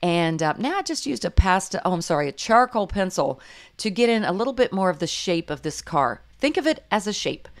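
An older woman explains her painting steps in a low, hoarse, slightly raspy voice. She speaks gently and encouragingly, wandering through asides with frequent ums and uhs, like a patient art teacher. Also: sing-song, brisk. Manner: gentle, encouraging, meandering with fillers. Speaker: art instructor. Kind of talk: painting tutorial voice-over.

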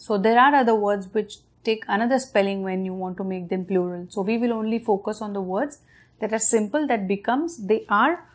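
A woman in her thirties with an Indian English accent talks straight to the camera from her living room. She walks parents through her lesson plan step by step in a friendly, patient way. She often pauses on fillers and restarts a word before going on. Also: medium pitch. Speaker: parenting channel host. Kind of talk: explaining a home reading method to parents on camera. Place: living room at night in front of a large window.

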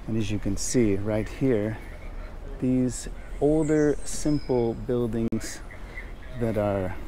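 A man's voice: fairly low pitch, mellow. A man giving a casual walking tour narration as a guide. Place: outdoors on old city streets. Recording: outdoor street noise behind voice.

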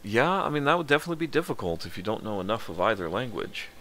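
A man's voice: lowish voice, sing-song, very fast, fairly bright tone, slight background noise. A man casually chatting while streaming a video game, rambling and hesitant, thinking aloud with many fillers. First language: English. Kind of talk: casual gameplay commentary.

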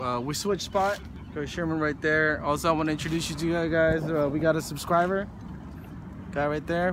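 Chatty man vlogging on a windy shore. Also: on the high side. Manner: chatty, many fillers and restarts. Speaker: fishing vlogger. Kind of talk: casual outdoor vlog. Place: rocky lagoon shore outdoors. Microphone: phone camera at arm's length. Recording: outdoor wind and water noise.

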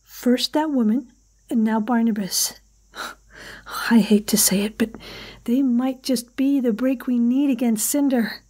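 A woman's voice: a little high, fairly even intonation, a little slow, mellow. A woman playing a tough, tactical member of a rescue team in an audio horror drama. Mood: resolute.

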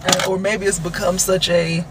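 A woman's voice: on the low side, flat delivery, moderate pace. A woman in her thirties chatting casually and candidly while driving, poking fun at herself. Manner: playful, candid, self-mocking. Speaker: vlogger. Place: inside a car on the road. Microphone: camera mounted in the car. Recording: car road noise behind.

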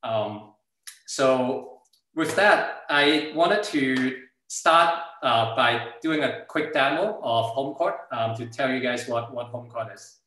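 A man with a Hong Kong accent, native in Cantonese, presents informally as a startup founder. His voice is bright and sharp at an ordinary pitch. It comes over a video call from a strongly echoing room. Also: slow. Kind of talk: informal slide presentation with product demo.

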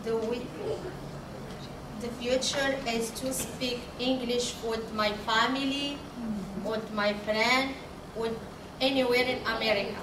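Young Moroccan woman, Arabic native, halting simple English, very slow and a bit high; echoey room, distant podium mic. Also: steady tone. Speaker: adult literacy student. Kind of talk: halting prepared remarks. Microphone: podium mic, far camera. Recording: echoey room from far off, background noise.

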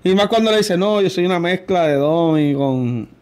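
A man's voice: high-pitched, expressive, normal speed, a crowd in the background. A Puerto Rican man in his thirties hosting a casual studio interview, joking and laughing often, full of slang. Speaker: podcast host. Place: small studio with brick-look wall. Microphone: desk boom mic.

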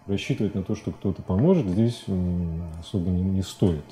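A man in his forties with a low, very dark and soft-edged voice. He speaks as a guest in a studio interview, thinking aloud in loose, colloquial phrases that often stop and restart. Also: normal speed, soft background music. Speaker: interview guest, animation filmmaker. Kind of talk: casual studio interview talk. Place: TV studio, indoors.

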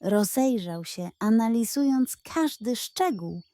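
A woman narrating a children's audio story in a dramatic, measured storytelling manner. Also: a little high, expressive, mellow, dry recording.

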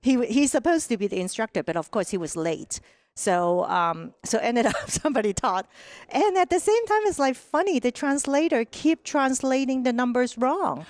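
A middle-aged woman from Hong Kong with a Hong Kong accent, telling stories as a podcast guest on a headset mic, animated and lively, often asking "right?". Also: fairly high pitch, normal speed, dry recording. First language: Cantonese. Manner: animated, acts out quoted voices, frequent "right?". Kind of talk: casual interview storytelling. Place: sunny room with large windows.

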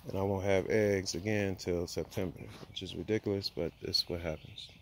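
Low-voiced man rambling on a backyard phone video. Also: fairly even intonation, normal speed, slight background noise. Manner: rambling, thinking aloud with many fillers. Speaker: homesteader giving a tour. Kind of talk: casual walk-and-talk vlog. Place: outdoor backyard by chicken coops. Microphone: handheld phone camera.

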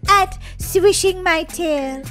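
A woman's voice: very high-pitched, slow pace, a bit bright, faint music behind, heavily acted. A woman voicing a friendly, reassuring young female wolf in a childlike game roleplay. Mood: playful.

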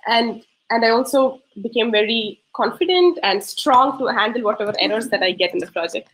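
A woman's voice: fairly high pitch, fairly quick, a bit bright, poor audio. A woman presenting her coding project on a video call. She laughs often and speaks in an earnest way. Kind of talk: online presentation talk.

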